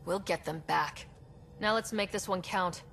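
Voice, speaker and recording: An actress playing an earnest, determined young expedition leader in a video game, supportive and decisive. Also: female voice, on the low side, a little slow, very noisy. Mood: resolute. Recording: long reverb, faint music behind.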